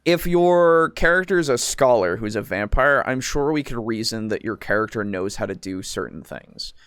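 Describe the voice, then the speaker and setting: A man's voice: medium pitch, expressive, moderate pace, fairly bright tone, smooth, no echo, studio quality. A man co-hosting a casual tabletop roleplaying discussion, explaining things in a rambling way with some swearing. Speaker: podcast co-host.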